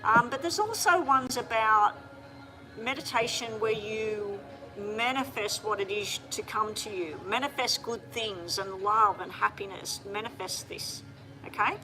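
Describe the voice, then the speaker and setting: A woman doing a live-streamed tarot reading, talking straight to viewers in a chatty, hurried way. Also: mid-range voice, over music, poor audio. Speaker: tarot reader.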